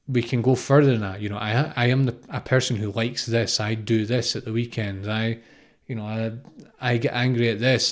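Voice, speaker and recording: A man in his thirties from Scotland gives an unscripted, informal spiritual talk, explaining reflectively and often repeating phrases or posing questions. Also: medium pitch. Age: 30s. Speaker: spiritual teacher, podcast host.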